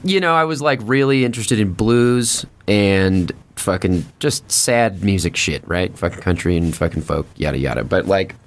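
A man's voice: deep voice, lively intonation, moderate pace, hardly any pauses. A man chatting casually as a podcast guest, telling stories in a blunt, slangy, sweary way. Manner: blunt, slangy, heavily profane. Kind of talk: casual storytelling and chat.

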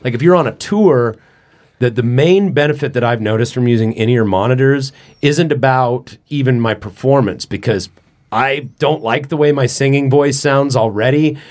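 A man's voice: medium pitch, moderate pace, a little mellow. A man hosting a podcast in casual chat, talkative and joking, with frequent restarts. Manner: chatty, joking, many restarts.

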